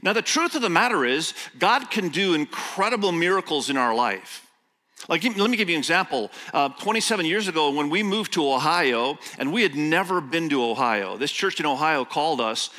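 A man's voice: fairly high pitch, expressive, pushed and bright. Man preaching in a reverberant hall. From Indonesia. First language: Indonesian. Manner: storytelling, slow emphatic scripture reading, quick asides. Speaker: guest pastor. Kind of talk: sermon. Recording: long church hall reverb.

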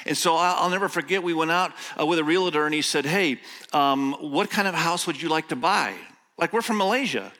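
A middle-aged man who grew up in Indonesia, with Indonesian as his first language, preaching a sermon as a guest pastor, telling stories and reading scripture slowly with emphasis. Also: a little high, pushed and bright. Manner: storytelling, slow emphatic scripture reading, quick asides. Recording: long church hall reverb.

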